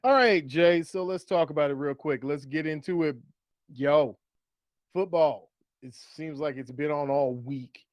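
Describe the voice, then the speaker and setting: Animated-talking male sports host, higher-pitched voice. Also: a little slow, no room sound. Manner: playful, repeats catchphrases and rhetorical questions. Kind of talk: upbeat fantasy football banter.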